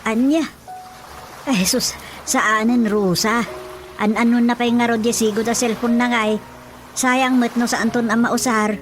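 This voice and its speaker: A woman's voice: fairly high pitch, fairly even intonation, a little slow, pauses often, a little hiss or hum, close to an everyday voice. A woman playing a fretful, thrifty middle-aged mother in a radio drama, with an Ilocano accent and a plaintive, exclaiming delivery. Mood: protesting. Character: Rosa's mother, a fretful, thrifty rural wife.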